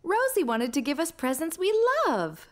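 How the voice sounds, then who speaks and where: A woman playing a cheerful, affectionate young mother in a preschool cartoon, expressive and gently encouraging. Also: sits high, moderate pace. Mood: delighted, affectionate. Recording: faint music behind.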